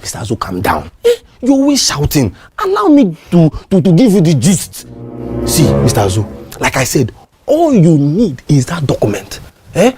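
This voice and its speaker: A man's voice: a little high, wildly swooping pitch, normal speed, mellow, soft background music. A man with a Nigerian accent playing a cowardly, wheedling neighbour in a comedy skit, stammering and repeating himself to placate. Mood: scheming.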